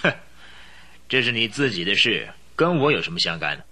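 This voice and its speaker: An actor playing an arrogant aristocratic count who secretly leads a gang, sneering and scornful in a radio drama. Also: male voice, fairly low pitch, very animated, brisk, bright, forceful tone, heavily acted.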